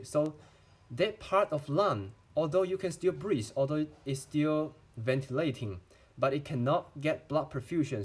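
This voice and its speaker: A young man from China, a medical student with a Chinese accent, talking through a physiology lesson in a careful, halting way and answering his own questions. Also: a little high, darkish tone. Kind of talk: lecture-style explainer video.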